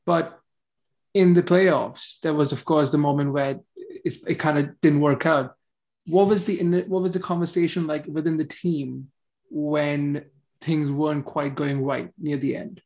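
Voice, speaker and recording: A man's voice: on the high side, steady tone, measured pace, darkish tone. A man hosting a podcast, asking long, thoughtful interview questions. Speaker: podcast host.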